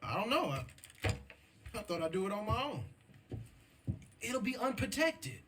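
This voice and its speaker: A young American outlaw in a Western video game. His voice sits high and moves around a lot, with an eager, insistent edge and a cocky streak. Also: big pitch swings, moderate pace, fairly bright tone. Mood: defensive, eager. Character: eager, defensive young male Old West outlaw. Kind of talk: video game dramatic acting.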